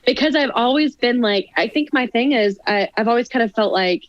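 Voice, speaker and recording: A young adult woman, a singer and actress, chatting as a podcast guest, animated and laughing, often restarting her sentences. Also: on the high side, a little fast, lo-fi sound. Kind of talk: casual interview chat.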